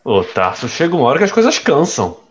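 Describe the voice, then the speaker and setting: An actor playing a brash, cocky young rich playboy in an audio drama, with slangy, teasing speech. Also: male voice, a little high, wildly swooping pitch, fast, muffled recording. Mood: pensive.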